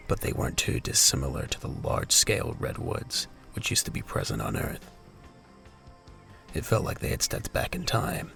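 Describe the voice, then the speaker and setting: A man's voice: rumbling low, vocal fry, fairly bright tone. A man giving scripted story narration for an audio drama as its narrator.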